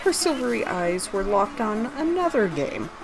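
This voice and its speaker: A woman's voice: mid-range voice, sing-song, normal speed, rough recording. A woman reading her own fantasy story aloud, narrating scripted prose and its dialogue.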